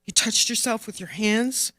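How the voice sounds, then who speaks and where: A woman's voice: fairly low pitch, steady tone, unhurried, a bit bright, dry recording. Woman as scolding nun, tense, accusing, clipped, memoir storytelling, dry sound. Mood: stern, suspicious.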